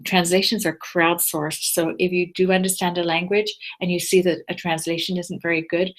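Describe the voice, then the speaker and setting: A woman teacher walking viewers through a screen-shared tutorial step by step, patient and explanatory, with frequent ums. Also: on the low side. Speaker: teacher giving a tech tutorial. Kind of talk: screencast walkthrough.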